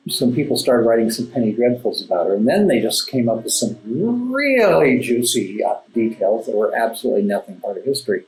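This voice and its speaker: Man, mid-pitched voice, thoughtful halting explanations, emphatic asides, echoey room, classical music behind. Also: a little mellow. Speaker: playwright, interview guest. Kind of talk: conversational storytelling and explaining in an interview. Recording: roomy echo, music underneath. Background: classical background music.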